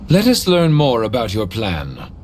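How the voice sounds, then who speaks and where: A man's voice: medium pitch, huge pitch swings, unhurried, a little mellow, slight background noise. A man voicing a dignified, loyal ancient guardian in a video game, with formal and courteous speech. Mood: resolute, diplomatic. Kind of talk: scripted video game dialogue.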